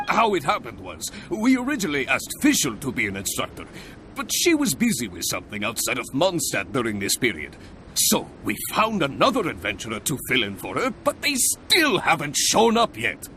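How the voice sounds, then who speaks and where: A man's voice: mid-range voice, sharp tone, a little hiss or hum. A man voicing an earnest, fussy, effusive guild organiser in a video game. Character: earnest, flustered male guild organiser, eager and grateful. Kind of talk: English game voice acting.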